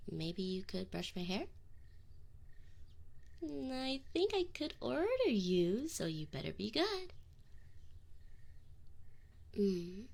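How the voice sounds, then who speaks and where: A woman's voice: medium pitch, creaky, heavily acted. A woman voicing a teasing, flustered but affectionate succubus in an intimate ASMR roleplay, close to the microphone with whispered asides. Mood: coy, affectionate. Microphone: close binaural microphone.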